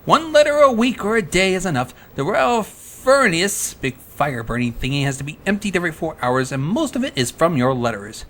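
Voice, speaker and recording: A performer voicing an earnest young male dragon, helpful and a little exasperated, in an animated fan drama. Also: medium pitch, normal speed, hardly any pauses, lightly acted. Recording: music behind the voice. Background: background music.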